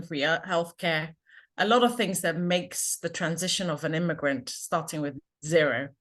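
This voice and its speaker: A middle-aged woman from Ghana, a confidence coach, telling her story earnestly and reflectively in an interview over a remote call. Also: low voice.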